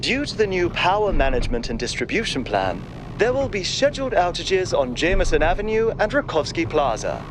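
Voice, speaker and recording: Cautious android bureaucrat, animated pitch. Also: male voice, a little fast, background noise. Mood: formal announcing. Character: cautious, overworked male android bureaucrat, chief aide. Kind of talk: video game dialogue.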